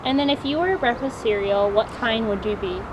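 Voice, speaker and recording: A young woman interviewer reading brisk, scripted questions. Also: fairly high pitch, background noise. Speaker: student interviewer.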